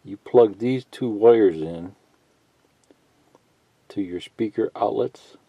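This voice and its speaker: A man giving a casual, unscripted how-to tutorial, thinking out loud in a halting, folksy way and reading bits of instructions aloud. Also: lowish voice, husky. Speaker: hobbyist instructor. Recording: muffled lo-fi sound, roomy echo.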